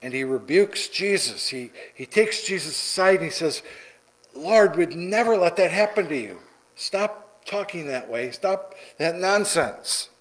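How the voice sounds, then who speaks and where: A middle-aged man preaching a sermon from the pulpit in a church sanctuary, deliberate and repeating words for emphasis. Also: sits high, fairly bright tone, poor audio. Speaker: pastor. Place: church sanctuary, large indoor room.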